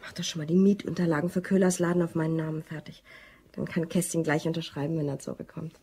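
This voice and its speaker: Radio crime drama: scheming female office worker, dry, commanding, husky. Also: sits low, little pitch movement, fast talker, mellow. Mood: confident, scheming.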